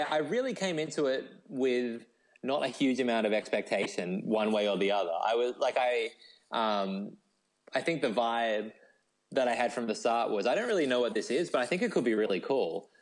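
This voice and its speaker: A man's voice: medium pitch, expressive, measured pace. An Australian man chatting casually as an interview guest in a laid-back, self-deprecating way. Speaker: guest, novelist.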